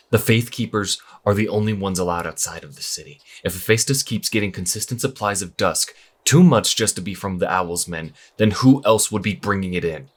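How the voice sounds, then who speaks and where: Troubled young priest, audio drama. Very low, rough-edged voice; earnest, hesitant, questioning his faith. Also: normal speed. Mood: angry, accusing.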